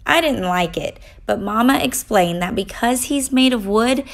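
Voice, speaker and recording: A woman reading a children's story aloud in an expressive, deliberate way for young listeners. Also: fairly high pitch, creaky.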